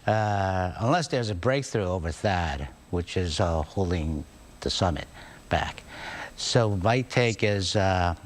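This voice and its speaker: A man answering questions as a guest analyst in a news interview, halting and full of fillers and restarts. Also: sits low, expressive, a little hiss or hum.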